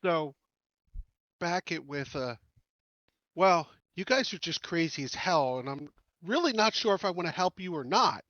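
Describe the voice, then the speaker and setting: A man's voice: on the high side, lively intonation, measured pace, pauses often, fairly bright tone, dry recording, muffled recording. A man playing a tabletop game, giving blunt suggestions in casual table talk. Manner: blunt, advising.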